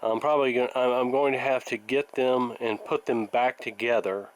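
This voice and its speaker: A man giving casual hands-on workshop commentary, thinking aloud in a halting, plainspoken, folksy way, like a hobbyist hosting a repair video. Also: medium pitch, steady tone, rough recording.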